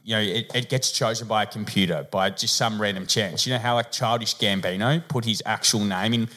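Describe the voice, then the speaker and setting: A man with an Australian accent co-hosting a podcast, telling anecdotes with drawn-out asides in casual banter. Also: on the low side, sharp tone. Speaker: podcast co-host.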